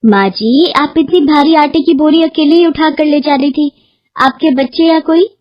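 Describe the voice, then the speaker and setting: Woman acting kind young woman, high-pitched. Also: normal speed, lo-fi sound, lightly acted. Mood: concerned. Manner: caring, respectful. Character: kind, helpful young village henna artist woman.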